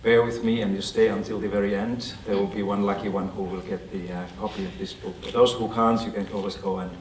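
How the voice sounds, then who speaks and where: Man with a finnish accent, lecturing, lowish voice, echoey hall, picked up from a distance. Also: flat delivery, fast, a little hiss or hum. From Finland. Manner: conversational, asks the audience questions. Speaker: guest speaker. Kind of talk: lecture presentation to an audience.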